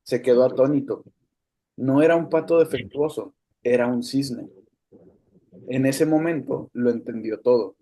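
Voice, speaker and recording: A man teaching a spiritual class over a video call, speaking in a calm, measured way with pauses and rhetorical questions. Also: mid-range voice, rough recording. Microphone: computer mic on a video call, no headphones.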